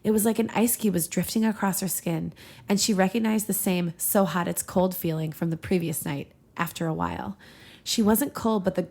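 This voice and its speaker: A woman's voice: on the low side, moderate pace, darkish tone. A woman co-hosting a podcast, reading fan fiction aloud with casual, giggly banter. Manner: giggly, breaking into laughter mid-reading. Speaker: podcast co-host and reader.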